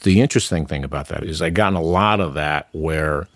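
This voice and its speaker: Very deep-voiced man, dry sound. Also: a little fast, no room sound. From New York City. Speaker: interview guest, amateur actor. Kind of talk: conversational interview.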